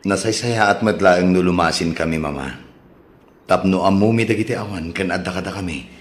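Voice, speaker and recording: Actor as low-voiced, earnest young husband. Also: male voice, brisk, lightly acted. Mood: pleading. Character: young husband, gentle dutiful son torn between women. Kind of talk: Ilocano audio soap drama acting.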